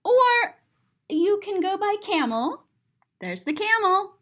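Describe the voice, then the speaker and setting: Slow, very animated high-voiced woman storyteller. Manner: playful, expressive, exclaiming and explaining simply. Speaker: children's storybook reader, teacher. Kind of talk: animated read-aloud to children, describing pictures.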